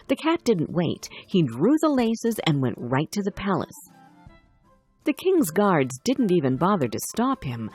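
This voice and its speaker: A woman narrates a children's tale from a script. Her voice is somewhat low and husky, with highly varied intonation. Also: darkish tone. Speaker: storyteller. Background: faint music.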